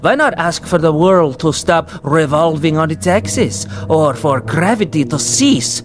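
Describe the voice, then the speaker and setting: An actor playing a grim, relentless accuser confronting his former tormentor in a classic radio drama, measured, mocking and solemn. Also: fairly high pitch, animated, faint music behind. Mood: bitter, ironic.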